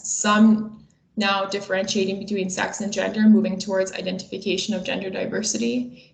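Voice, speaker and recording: A woman in her twenties delivers a prepared webinar presentation. Her mid-pitched voice has a noticeable creak, and she explains each point in a measured way. The sound is roomy, with audible echo around her words. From Bosnia and Herzegovina. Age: 20s.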